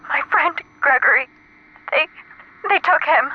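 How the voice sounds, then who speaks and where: An actress playing a frightened, devoted woman in a retro radio drama, speaking haltingly through an old telephone filter. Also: high voice, animated. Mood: distressed. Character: frightened, devoted woman, a sweetheart trapped under alien occupation. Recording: compressed narrow-band line with static noise.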